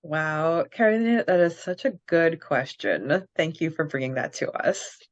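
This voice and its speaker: Woman, slightly low pitch, podcast co-host, enthusiastic, casual read-aloud and chat, dry, slightly muffled recording. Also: animated, moderate pace, no room sound. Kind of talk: casual fan podcast chat, reading listener questions and answers aloud.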